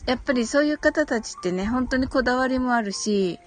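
A woman with a fairly high voice hosts a casual live stream. She reads out listener comments and answers politely, agreeing often with small murmured responses. Music plays behind her as she talks. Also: fairly quick. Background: background music.

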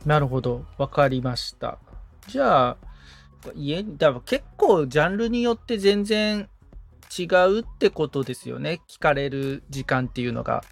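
A podcast assistant asks curious, hesitant questions and fills pauses. The voice is gravelly, with a lively pitch. Soft music plays underneath. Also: no room sound. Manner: curious, hesitant, many fillers. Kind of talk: casual chat.

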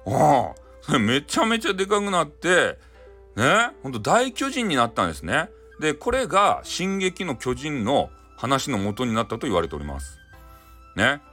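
A man's voice: mid-range voice, sing-song, moderate pace, pushed and bright, faint music behind. A man with a Hakata accent playfully retelling a folktale in dialect, improvising as he goes and throwing in sound effects. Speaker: storyteller.

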